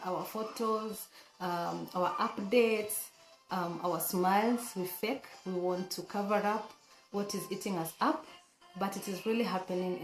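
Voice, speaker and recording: A woman with a hoarse voice, talking casually and earnestly to camera as a vlogger, with frequent fillers and restarts. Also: mid-range voice, echoey room, low-quality recording.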